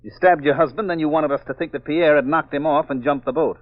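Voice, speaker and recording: An actor playing a tough, cocky sleuth in a 1940s radio mystery, with a clipped, commanding way of talking. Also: male voice, on the high side, steady tone, fast, natural voice. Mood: accusing. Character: tough, cocky male amateur sleuth husband. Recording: dull, lo-fi old radio recording.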